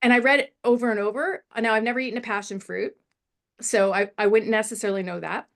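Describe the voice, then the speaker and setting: A woman story editor giving spoken script feedback in a discussion, halting and emphatic, often illustrating with examples. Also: fairly high pitch, fairly even intonation, vocal fry, a bit bright.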